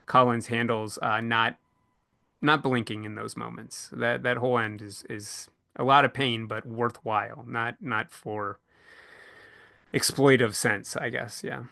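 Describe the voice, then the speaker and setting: A man hosting a podcast panel, speaking earnestly and reflectively, often halting as he searches for the right words. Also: mid-range voice, vocal fry, bright, forceful tone, dry recording, studio quality. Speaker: podcast co-host and panel moderator. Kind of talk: reflective panel discussion about books.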